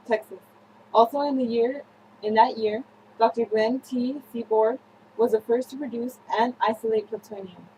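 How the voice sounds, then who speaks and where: A young woman presenting a scripted student newscast, reading haltingly with slips and self-corrections. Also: on the high side, warm tone, a little hiss or hum, rough recording. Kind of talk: scripted news-style narration for a history project.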